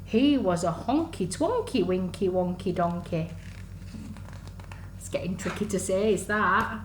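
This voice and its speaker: A woman reading a story to young children in an animated, sing-song, exaggerated way, as a storyteller for under-fives. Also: lowish voice, a little slow. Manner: sing-song, exaggerated, asks the listeners questions. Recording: echoey room, faint background noise.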